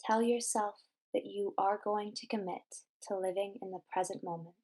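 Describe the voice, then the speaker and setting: Female meditation guide, mid-range pitch, measured scripted delivery with deliberate, instructional phrasing. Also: steady tone, warm tone.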